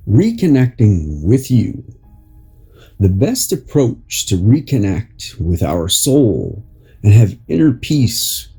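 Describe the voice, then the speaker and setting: Male narrator, very husky and breathy, for unhurried inspirational reading; pitch moves freely, light music underneath. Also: dark tone. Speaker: podcast host and article reader. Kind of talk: slow read narration of an inspirational article.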